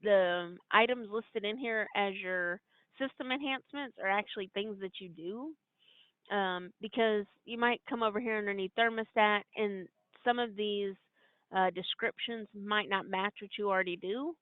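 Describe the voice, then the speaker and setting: A woman's voice: medium pitch, steady tone, normal speed, dry recording. Woman, trainer, step-by-step explanation, informal conference call, tinny dry line. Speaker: trainer explaining software steps.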